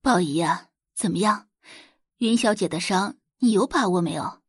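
A woman's voice: on the low side, normal speed, breathy edge, dry recording, clean studio sound. A woman voicing an ambitious, doting mother who exclaims effusively in an audiobook drama. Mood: eager. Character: gushing, ambitious mother doting on her gifted daughter.

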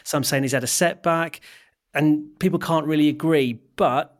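Casting note: chatty male football podcast co-host, slightly higher voice, laughs a lot and restarts his sentences. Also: moderate pace. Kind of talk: casual football chat.

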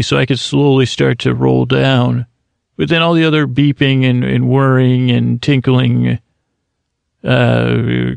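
Gravelly rambling man with a flat voice. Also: mid-range voice, dry recording. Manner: meandering, full of restarts and asides, goofy. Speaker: podcast host. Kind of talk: rambling improvised monologue.

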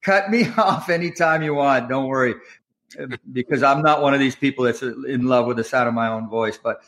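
A man's voice: medium pitch, brisk, fairly bright tone. A man from Nova Scotia, a doctor turned filmmaker, telling his story in a loose, frank way and laughing easily. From Canada, Nova Scotia. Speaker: guest filmmaker. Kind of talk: casual storytelling interview.

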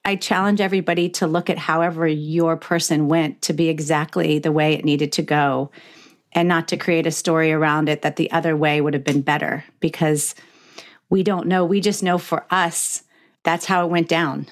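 A middle-aged woman with a low voice hosts an intimate interview. She speaks reflectively and conversationally, slipping into imagined quotes to make her points. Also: little pitch movement, normal speed. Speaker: podcast host interviewing a guest.

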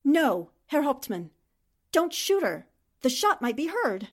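A woman acts out a defensive countess and impostor spy in 1940s radio style. Her voice is high and swoops theatrically, with an anxious, pleading manner. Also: sing-song, takes it slowly, full character voice. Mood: earnest. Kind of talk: 1940s radio drama acting.

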